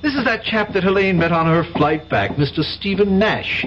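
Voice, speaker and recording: An actor playing a snobbish, teasing brother in a radio drama, chatty and affected in manner. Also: male voice, sits high, lively intonation, moderate pace. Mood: breezy, teasing. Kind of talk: 1970s radio drama acting. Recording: dull, noisy 1970s radio recording.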